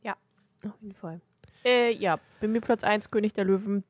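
A woman's voice: medium pitch, moderate pace, no echo, highs cut off. A woman co-hosting a podcast, talking casually about films. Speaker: podcast co-host.

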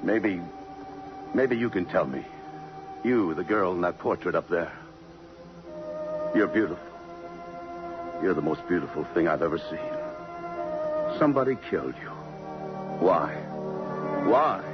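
An actor playing a tough, brooding police detective in 1950s American radio drama, curt and hard-boiled. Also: male voice, low voice, lively intonation, unhurried, pauses often, over music. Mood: haunted, yearning. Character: tough, brooding police detective lieutenant smitten by a murder victim. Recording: muffled, noisy 1950s radio recording.

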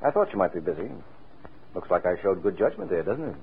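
A man's voice: deep voice, very animated, fast, breathy edge, full character voice. A man playing a cool, wisecracking, flirtatious private detective in a 1940s radio drama, with a breezy, sardonic, teasing way of talking. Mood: sly, teasing. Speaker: radio drama lead actor. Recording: tinny, band-limited old radio recording.

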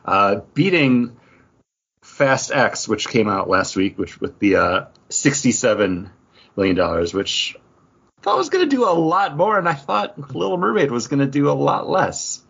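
Animated, husky-voiced man podcasting. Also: medium pitch, normal speed. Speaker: podcast co-host. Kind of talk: casual podcast chat. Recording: slightly muffled, lo-fi audio.

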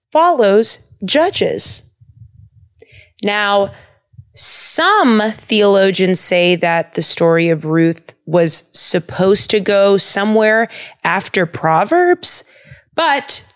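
A young woman hosting a podcast, chatting in a bubbly, animated way and laughing often. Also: mid-range voice. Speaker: podcast host. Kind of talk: casual chat about the Bible.

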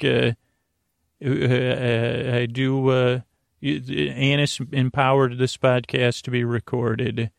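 Gravelly man rambling through a bedtime podcast. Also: medium pitch, no room sound, studio quality. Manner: meandering, halting, trailing off into tangents. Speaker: sleep podcast host.

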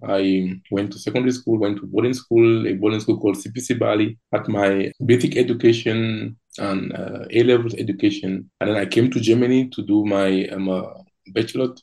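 A middle-aged man from Cameroon with a Cameroonian English accent, a podcast guest telling his life story thoughtfully, with pauses and restarts. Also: on the low side, fairly even intonation, creaky, mellow, poor audio. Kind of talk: interview conversation.

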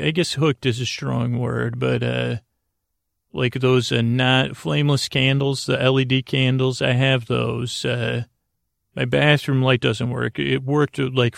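A man with a gravelly, creaky voice hosting a sleep podcast, rambling in a halting, whimsical way with silly asides and self-interruptions. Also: mid-range voice, dry recording, clean studio sound. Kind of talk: rambling, whimsical bedtime monologue.

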